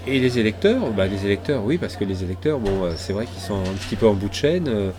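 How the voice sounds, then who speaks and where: A man's voice: fairly low pitch, big pitch swings, fast talker. A middle-aged man from southwest France, talking in a rambling, hesitant way as an interview guest. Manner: hesitant, many fillers and restarts. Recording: noisy crowd around.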